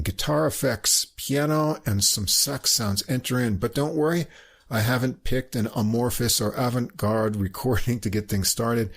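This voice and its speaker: A man with a gravelly, slightly husky voice hosts a music podcast. He reads out credits and walks through the tracks in an informative, even manner. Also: mid-range voice. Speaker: podcast host. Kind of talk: reviewing music recordings.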